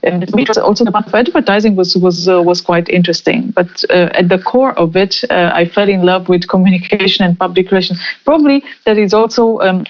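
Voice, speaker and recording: A woman in her forties who grew up in Romania, answering interview questions slowly with many fillers and restarts. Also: lowish voice. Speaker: guest. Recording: muffled lo-fi remote call audio.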